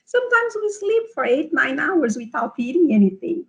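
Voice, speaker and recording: A woman's voice: high-pitched, big pitch swings, normal speed, creaky. Brazilian woman giving a health seminar. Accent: Brazilian Portuguese. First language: Portuguese. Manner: frequent fillers and restarts, speaks directly to the audience. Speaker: seminar presenter. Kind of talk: informal health seminar talk.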